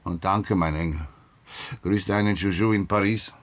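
An actor playing a gruff, insistent retired detective in a radio play, an older man with a husky, blunt, grumbling delivery. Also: deep voice, steady tone, moderate pace, close to an everyday voice. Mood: probing, wry. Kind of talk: naturalistic radio drama dialogue.

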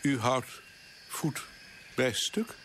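A man playing a stern, disapproving senior colonial official in a radio play, with a measured, formal, pressing manner. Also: medium pitch, very animated, a bit bright, a little hiss or hum, exaggerated voice. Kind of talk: Dutch radio play acting.

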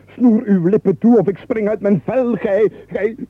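An actor with a Flemish accent playing an exasperated rich nobleman, husky and melodramatic, in a radio comedy. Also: high voice, a little slow, no room sound. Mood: exasperated, frantic. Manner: melodramatic, explosive outbursts dropping to confiding. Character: exasperated rich nobleman, driven frantic by chatter. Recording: muffled, phone-like old radio recording.

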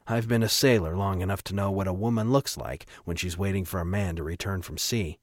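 A man voicing a steady, kindly, seasoned sea captain in an audiobook, plain-spoken and reassuring. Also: low-pitched, brisk, no echo, studio quality. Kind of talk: audiobook character acting.

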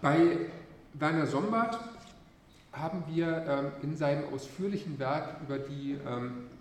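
A man's voice: fairly high pitch, measured pace, a little hiss or hum. A male university lecturer with a cold gives an academic lecture, hesitant and full of filler sounds. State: has a cold. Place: lecture hall. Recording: echoey lecture hall from far off.

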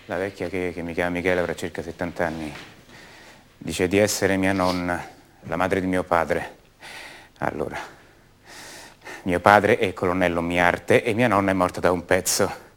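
A man's voice: sits low, steady tone, normal speed, long pauses, fairly bright tone, a little hiss or hum. An actor playing a troubled young colonel's son in a radio monologue, hesitant and defensive, repeating himself as he doubts who he is. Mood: shaken, subdued. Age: young adult.

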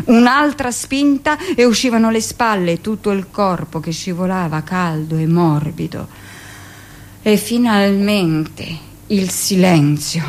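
Middle-aged hospital midwife, female, medium pitch, hardened, confiding, deliberate, matter-of-fact, dramatic radio monologue. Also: darkish tone. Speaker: actress performing a radio monologue. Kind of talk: dramatic first-person theatrical monologue.

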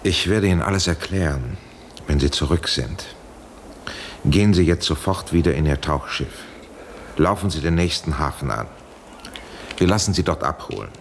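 An actor playing an anxious, authoritative professor in a 1970s German radio drama, urging and imploring in formal speech. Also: male voice, extremely low pitch, moderate pace, pauses often, slight background noise. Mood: insistent. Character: anxious authoritative male professor pleading urgently by radio link.

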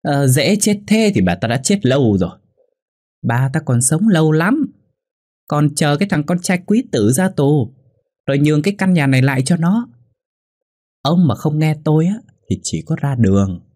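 Spiteful, bossy wife for an audio drama, played by a man: shrill, pushed-up pitch, scornful delivery. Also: very animated, normal speed, darkish tone. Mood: scornful, demanding. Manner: hissing, shrieking, scornful. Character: shrill, spiteful, domineering middle-aged wife.

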